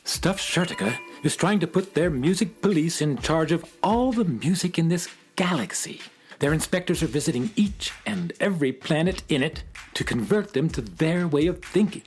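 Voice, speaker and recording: A man's voice: on the high side, expressive, moderate pace, a little hiss or hum. A man playing an earnest, courteous male schoolteacher in a children's audio drama, speaking politely. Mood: earnest, concerned.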